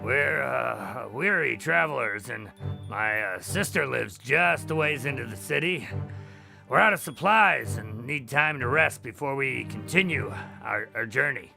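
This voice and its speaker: An actor playing a sarcastic, irreverent adventurer in an audio drama, with a dry and casual, wisecracking manner. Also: male voice, medium pitch, animated, a little slow, gravelly, over music. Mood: bluffing. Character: sarcastic, irreverent male adventurer living in the moment.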